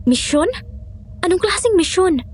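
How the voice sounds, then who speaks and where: A high-voiced woman cook in a horror radio play. She is anxious and full of wary questions, with a faint noisy ambience behind her. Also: lively intonation, unhurried. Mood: frightened. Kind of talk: Tagalog horror audio drama acting.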